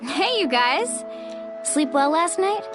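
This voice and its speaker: A woman's voice: high voice, sing-song, takes it slowly, over music, exaggerated voice. A woman playing a friendly, easygoing young guide in a video game, with a breezy, casual and reassuring manner. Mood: friendly, upbeat. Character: Mualani, friendly young female water-tribe guide, easygoing and upbeat. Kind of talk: video game character acting.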